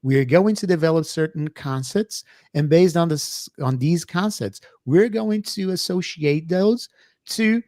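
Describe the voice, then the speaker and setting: A middle-aged Brazilian professor with a Brazilian Portuguese accent, co-hosting an educational podcast in a hesitant, filler-heavy manner. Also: male voice, fairly high pitch, a little slow, darkish tone, no room sound, studio quality. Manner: hesitant, many fillers and restarts. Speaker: co-host, professor. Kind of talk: casual educational podcast chat.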